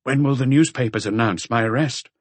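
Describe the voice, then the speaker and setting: A man's voice: mid-range voice, brisk, husky, no echo, voice pushed up, lightly acted. A man acting a subdued, shaken middle-aged murderer. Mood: anxious.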